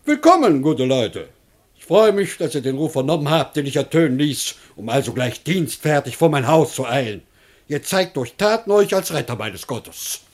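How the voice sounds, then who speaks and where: An actor playing a crafty, excitable, boastful citizen of ancient Greece, declaiming theatrically in a classic German radio comedy. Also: male voice, fairly high pitch, normal speed. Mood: effusive, sly. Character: crafty, excitable Greek citizen, gleeful new-rich braggart.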